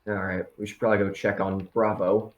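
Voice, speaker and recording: A man playing a practical, steady spaceship crew member with casual, matter-of-fact phrasing in a tabletop game. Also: lowish voice, brisk, muffled recording, lightly acted. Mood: determined. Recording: echoey room.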